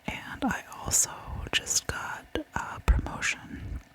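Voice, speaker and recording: A woman speaks in a breathy ASMR whisper, taking her time with each phrase. She addresses viewers directly and gently, sometimes repeating words as she hosts. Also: slow pace. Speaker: host of a true crime ASMR channel.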